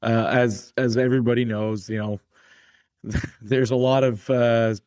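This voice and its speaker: A man's voice: mid-range voice, flat delivery, unhurried, darkish tone. A man hosting a podcast and asking loose, filler-heavy questions. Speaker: podcast host. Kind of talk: interview questions.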